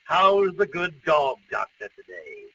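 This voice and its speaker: An actor playing a blustery, fussy older uncle in a 1940s radio comedy. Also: male voice, sits high, very animated, a little slow, pushed and bright, recorded from a distance, exaggerated voice. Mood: bemused. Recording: muffled, noisy old radio recording.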